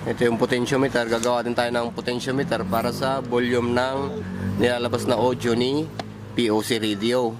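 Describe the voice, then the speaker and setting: Filipino man demonstrating over clear background noise. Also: mid-range voice, little pitch movement. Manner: halting, thinking aloud while pointing things out. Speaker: electronics hobbyist presenter. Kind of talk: informal hands-on technical demonstration.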